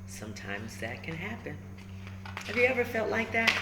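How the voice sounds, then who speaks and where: Woman, children's storybook read-aloud, lively varied pitch, expressive, echoey room. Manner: expressive, pausing to check in with listeners. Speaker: storybook reader. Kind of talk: animated children's read-aloud with asides to listeners. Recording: roomy echo with faint background noise.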